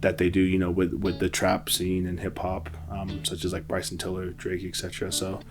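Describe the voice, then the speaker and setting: A man in his twenties from New Zealand, a musician introducing himself in a relaxed, hesitant way with many fillers. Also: low-pitched, brisk, soft background music. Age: 20s. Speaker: musician and producer. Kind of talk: casual self-introduction.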